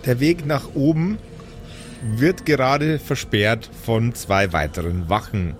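Male game master, mid-pitched. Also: slow, music in the background. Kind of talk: improvised game narration and table talk.

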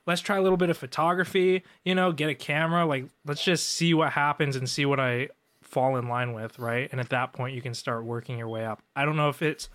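A man's voice: mid-range voice, brisk. Laid-back male designer chatting. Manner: relaxed, filler-heavy, says "like" a lot. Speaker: designer co-host. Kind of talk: casual chat.

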